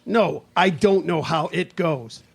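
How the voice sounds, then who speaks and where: A man's voice: a little high, slow pace, vocal fry, breathy edge, heavily acted. A man playing an exasperated, scolding mid-century husband in a live radio play, nagging and questioning emphatically.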